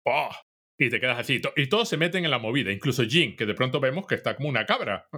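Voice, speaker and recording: Bright-voiced man recapping an episode. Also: mid-range voice, lively intonation, fast, breathy edge. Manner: recaps episode plot, quotes lines with little acting. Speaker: podcast co-host. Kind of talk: casual review chat.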